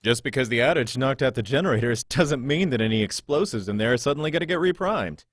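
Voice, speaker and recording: A man's voice: mid-range voice, expressive, quick pace, no room sound, clean studio sound, lightly acted. A man playing an irritable, foul-mouthed security guard in a visual novel, sneering and impatient. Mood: scornful, irritated.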